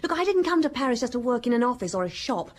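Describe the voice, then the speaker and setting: Radio play, spoiled young socialite, sharp-tongued, very expressive pitch, flippant, haughty. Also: female voice, fast talker. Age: young adult. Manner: disdainful, theatrical, gossipy. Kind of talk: radio drama acting.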